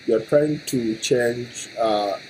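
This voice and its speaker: A man's voice: mid-range voice, very slow, a little mellow, low-quality recording. A man interviewing a guest in unscripted speech. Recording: clear background ambient noise.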